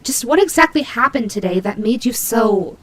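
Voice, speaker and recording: A woman's voice: fairly high pitch, lively intonation, normal speed, fairly bright tone. A woman voicing a cute female demon bunny, proud and grudging, who stammers huffily in a scripted audio roleplay. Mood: reluctant huffiness. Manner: indignant, stammering, huffy.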